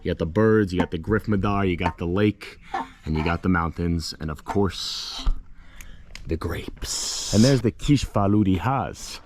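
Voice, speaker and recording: A man with a low-pitched voice hosts a casual walking vlog. He speaks like a playful, theatrical storyteller who leans on dramatic pauses. The recording is made outdoors, with some light noise around the voice. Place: outdoors, walking in a hilly lakeside village and vineyards.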